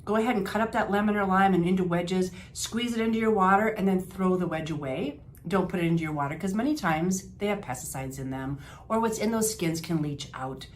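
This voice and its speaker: A woman's voice: lowish voice, fairly quick, slight background noise. Chatty older woman. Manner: chatty, homey, with fillers and restarts. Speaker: health practitioner. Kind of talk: informal health advice talk to camera.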